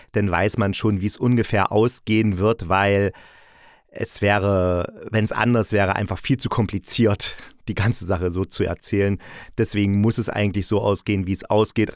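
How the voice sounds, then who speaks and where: A man's voice: fairly low pitch, no echo, studio quality. A man hosting a podcast, giving a casual, chatty spoken review with self-correcting asides. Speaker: podcast host reviewing radio plays.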